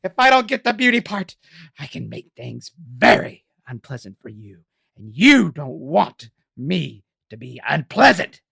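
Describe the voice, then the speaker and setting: Actress as demanding diva, slow drawl, gravelly fry, swooping intonation, theatrical, vain, radio comedy. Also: female voice, deep voice, very animated, a bit bright, no echo, studio quality, exaggerated voice. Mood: demanding, menacing. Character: imperious, vain female Hollywood diva, volatile and demanding. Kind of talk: comic radio drama acting.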